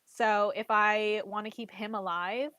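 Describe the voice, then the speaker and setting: A woman recovering from a cold talks in a congested, slightly irritated voice at a middling pitch. She chats loosely and freely, filling her talk with "like" and "literally". The sound is dry and close, with no room around her. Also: fairly even intonation, measured pace, fairly bright tone, smooth, no room sound. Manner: chatty, heavy use of "like" and "literally". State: congested, getting over a cold. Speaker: trading card game player and content creator. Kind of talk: casual chat.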